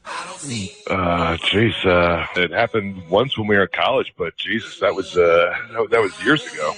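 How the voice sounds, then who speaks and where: A man's voice: low-pitched, measured pace, fairly bright tone, poor audio. A young man with an American accent speaking casually and hesitantly as a caller on a radio show. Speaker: phone-in guest. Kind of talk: casual phone conversation.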